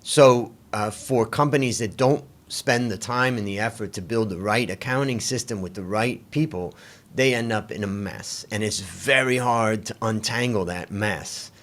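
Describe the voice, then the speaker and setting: A man's voice: lowish voice, moderate pace, a bit bright. A man hosting an interview, asking curious, conversational questions. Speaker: podcast host.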